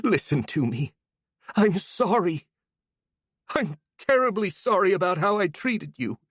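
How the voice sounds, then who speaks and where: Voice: medium pitch, very animated, measured pace, fairly bright tone, slightly rough, no echo, studio quality, voice pushed up, full character voice. A storyteller voicing a remorseful old woman pleading in a trembling, broken voice.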